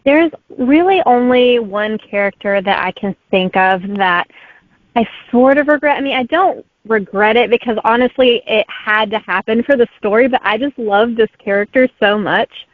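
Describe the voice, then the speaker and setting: A woman author answers interview questions in a relaxed way with plenty of "um"s. Her voice comes through a thin, phone-like line with a dry sound. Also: on the high side, moderate pace, no room sound. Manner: easygoing, with filler "um"s. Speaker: author guest. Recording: tinny phone-like remote line.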